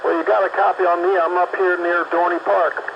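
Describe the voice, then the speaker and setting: Cb radio guy, short friendly replies, higher voice, buried in static. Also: flat delivery, a little fast, gravelly. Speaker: CB radio operator. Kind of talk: casual CB radio chat. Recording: very noisy lo-fi CB radio.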